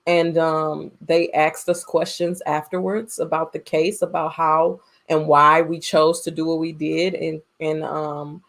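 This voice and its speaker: A woman's voice: sits low, flat delivery, unhurried, few pauses. A woman co-hosting a podcast in casual chat, speaking emphatically and repeating phrases for effect. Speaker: podcast co-host.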